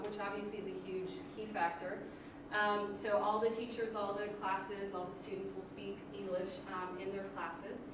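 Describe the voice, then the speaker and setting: A young woman giving an academic presentation as a graduate student, earnest and full of ums, with light laughs. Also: medium pitch. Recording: echoey room recorded from far off with noise.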